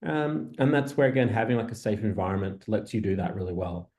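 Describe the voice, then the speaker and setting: A man answering an industry question in an online info session, pausing often and restarting. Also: fairly low pitch, animated, darkish tone. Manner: halting, full of ums and restarts. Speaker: industry partnerships staff member.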